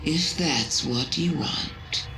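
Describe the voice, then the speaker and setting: Game villain, ancient alien witch queen: unhurried, calm, manipulative, over loud music. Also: low-pitched, creaky, full character voice, poor audio. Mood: cool, persuasive. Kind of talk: dramatic video game dialogue.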